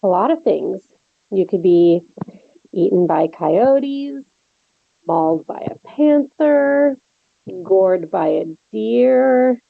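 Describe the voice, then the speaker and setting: Female best friend, horror drama, read by an actress: teasing, protective, wry, gravelly fry, dull lo-fi sound. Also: mid-range voice, animated, slow, dry recording, heavily acted. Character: Lex, teasing, protective young woman friend. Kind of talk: table read of a horror film script.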